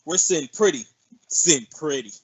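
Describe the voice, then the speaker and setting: A performer voicing a tough, sneering male con man in an old-time radio drama, talking in a gruff way. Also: mid-range voice, expressive, moderate pace, no room sound, poor audio.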